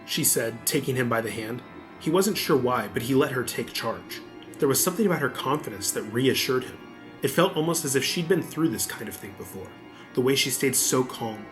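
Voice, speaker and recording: Narrator: man, mid-pitched voice, scripted storybook read with clear music underneath.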